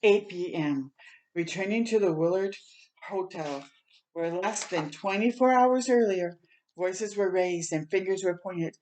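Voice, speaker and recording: A woman's voice: fairly low pitch, measured pace, darkish tone, a little hiss or hum. A woman reading a picture book aloud for children as a storytime host, in a dramatic, heartfelt and emphatic manner.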